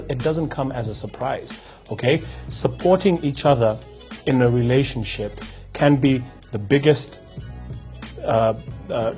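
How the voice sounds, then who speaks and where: A man of middle pitch gives a motivational talk to camera. He speaks reflectively and often restarts his sentences as he turns over rhetorical questions. Faint music plays under his voice. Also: warm tone. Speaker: host.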